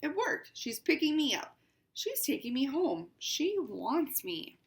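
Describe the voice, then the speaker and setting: A fussy, haughty house cat tells her tale in a storybook voice, unhurried and exclaiming, as a woman reading aloud plays her. The tone sits fairly high. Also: sing-song, heavily acted. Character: haughty pampered house cat, female-ish narrator, fussy. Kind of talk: read-aloud picture book.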